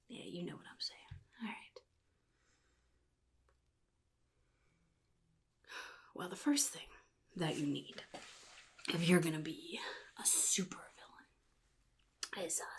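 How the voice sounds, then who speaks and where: A woman voicing a chaotic, jittery male clown supervillain in soft-spoken ASMR roleplay, half-whispering and rambling in halting, sly phrases. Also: on the low side, sing-song. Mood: sly, confiding. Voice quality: breathy, gravelly, half-whispered. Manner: halting, rambling, sly, addresses listener as kid.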